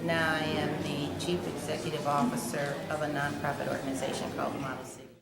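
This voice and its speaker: A woman from Kansas City, a nonprofit chief executive, giving a measured self-introduction with a few filler pauses. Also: deep voice, little pitch movement, gravelly. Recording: roomy hall with crowd noise behind.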